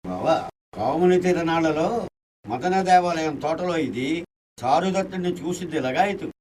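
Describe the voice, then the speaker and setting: An actor playing a boastful, foolish, lecherous villain in a radio play, theatrical and insistent. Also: male voice, fairly high pitch, animated, a little slow, a little mellow, far from the mic, a little hiss or hum. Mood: excited, urgent. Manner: theatrical, self-important, insistent. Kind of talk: radio play acting in Telugu.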